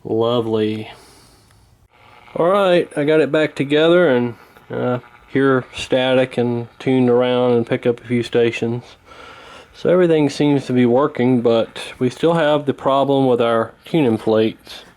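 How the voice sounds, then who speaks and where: A man giving a casual hands-on repair walkthrough, talking in an unhurried, folksy way while he works. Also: medium pitch, a little mellow, poor audio. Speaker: host of a radio repair channel.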